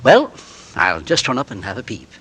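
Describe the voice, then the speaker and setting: An actor playing a polite, nervous husband who narrates a 1940s radio drama. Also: male voice, medium pitch, wildly swooping pitch, normal speed, fairly bright tone, a little hiss or hum. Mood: genteel. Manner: genteel, polite, nervously hesitant. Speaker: narrator and lead. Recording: old radio broadcast with music.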